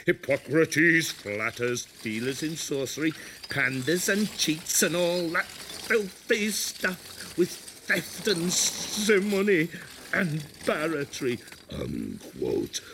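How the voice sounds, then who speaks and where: Clear mechanical noise surrounds a brooding, gravelly announcer of an infernal radio station. He speaks very slowly in broken fragments, switching between German and English as in an experimental radio play. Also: a little high, big pitch swings, pushed and bright, full character voice, poor audio. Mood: incantatory, intense. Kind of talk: experimental radio drama monologue.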